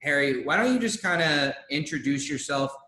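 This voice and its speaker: Excited man in his twenties, roomy sound. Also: on the high side, moderate pace. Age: 20s. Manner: energetic, hyping up the guest. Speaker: co-host interviewer. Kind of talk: enthusiastic online interview. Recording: roomy echo.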